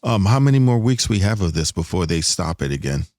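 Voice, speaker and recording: A man's voice: deep voice, sing-song, a little fast, a little mellow. A man hosting a casual sci-fi fan podcast, explaining things in a rambling, storytelling way. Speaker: podcast host.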